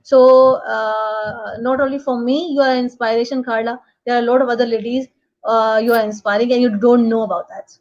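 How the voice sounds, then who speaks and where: A woman with a Pakistani accent hosting an online show, speaking English as a second language in a halting, repetitive, effusive way. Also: on the high side, fairly even intonation, darkish tone. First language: not English. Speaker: host. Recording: dull, lo-fi online call audio.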